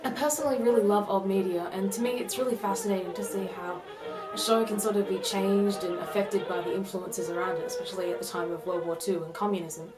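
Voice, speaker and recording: A woman's voice: medium pitch, steady tone, fast talker, low-quality recording. A young woman presenting a scripted analysis as a student narrator. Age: young adult. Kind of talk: scripted video essay narration.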